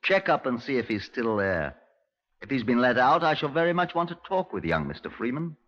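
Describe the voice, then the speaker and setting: A man's voice: medium pitch, sing-song, a little fast, close to an everyday voice. Casting: male actor as British detective inspector, dry and authoritative, very varied intonation, dull vintage radio crime recording. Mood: brisk, curious. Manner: clipped, polite, dryly authoritative. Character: dry, firm male Scotland Yard police inspector. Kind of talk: 1950s radio crime drama acting. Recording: muffled 1950s radio recording.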